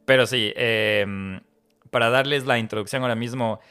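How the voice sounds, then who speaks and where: A man's voice: medium pitch, steady tone, normal speed, bright, forceful tone, smooth. A man hosting a podcast, chatting casually with guests. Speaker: podcast host.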